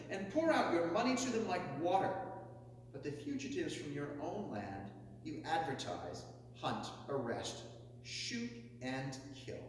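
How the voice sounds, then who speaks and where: Man declaiming an oration in an echoing hall. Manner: emphatic, builds to forceful high peaks on lists. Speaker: reader of a historic speech. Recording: echoey hall, mic far off, faint hum.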